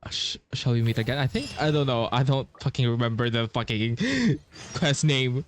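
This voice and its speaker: A woman's voice: rumbling low, animated, moderate pace, a little hiss or hum. A woman giving casual, slangy playthrough commentary on a video game, swearing freely. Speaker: gaming streamer.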